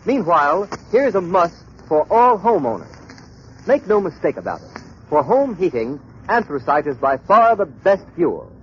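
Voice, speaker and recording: A male radio announcer with a high, very animated voice delivers an emphatic scripted commercial in 1930s style. The old recording is muffled. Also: normal speed, slight background noise. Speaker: announcer reading a sponsor advertisement.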